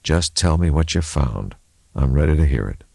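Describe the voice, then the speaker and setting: Grieving doctor played by male reader, controlled, deliberate, deep and creaky, audiobook drama. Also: lively intonation, a little mellow, dry recording, clean studio sound, full character voice. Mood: pained, insistent. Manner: restrained, deliberate. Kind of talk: audiobook character acting.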